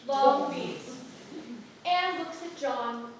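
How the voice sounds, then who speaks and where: A woman's voice: high-pitched, drawn-out words. A woman narrator reading scripted stage directions aloud at a staged play reading. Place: stage in a theatre. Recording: echoing theatre, distant mic, faint noise.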